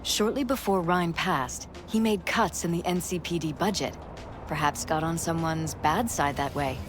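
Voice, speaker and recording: Woman acting a middle-aged political wife, low-leaning pitch, controlled, crisp, shrewd, game cutscene, music behind. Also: normal speed, lightly acted. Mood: businesslike. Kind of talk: cinematic video game acting.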